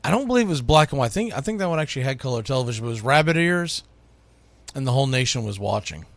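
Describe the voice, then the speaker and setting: A man's voice: medium pitch, lively intonation, very fast, gravelly, dry recording. A man from the United States hosting sports radio, talking casually and with firm opinions as he counts down a list, leaning on key words.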